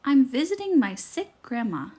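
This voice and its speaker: Woman doing a high-pitched, innocent little girl. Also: big pitch swings, moderate pace, warm tone, breathy edge, exaggerated voice. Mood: cheerful, trusting.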